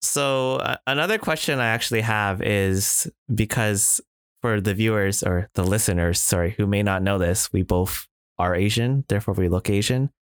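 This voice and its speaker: A man's voice: lowish voice, normal speed, clean tone, dry recording, studio quality. A man in casual podcast chat, reflective, with many fillers and restarts. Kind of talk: casual conversation.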